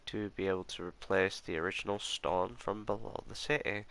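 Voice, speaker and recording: A man hosting a let's play who sounds slightly nasal from allergies, musing and reading in-game documents aloud in a soft murmur. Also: low-pitched, steady tone, moderate pace. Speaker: gaming channel host.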